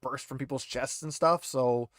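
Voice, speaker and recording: A man's voice: mid-range voice, fairly even intonation, moderate pace, a bit bright, no echo, clean studio sound. A man narrating as game master in a casual, conversational way, explaining rules to players.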